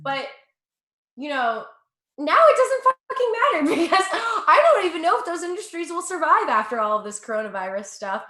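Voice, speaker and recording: Profane chatty comedy girl, american accent, swoops all over in pitch, roomy echo. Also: big pitch swings, a little fast, bright, forceful tone. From Russia. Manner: chatty, profane, self-mocking, laughs at own jokes. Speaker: stand-up comedian. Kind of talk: stand-up set.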